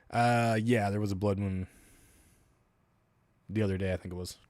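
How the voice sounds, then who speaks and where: A man's voice: on the low side, fairly even intonation, takes it slowly, creaky, darkish tone, no echo. A man with an Albertan Canadian accent, hosting a livestream and rambling casually, with loose, profane asides. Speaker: livestream host.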